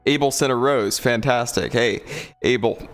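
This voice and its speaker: A deep-voiced young man from the South, chatting casually on a livestream, rambling with fillers and laughing often. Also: moderate pace, soft background music. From Georgia, USA. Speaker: VRChat streamer. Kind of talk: casual livestream chat reading comments.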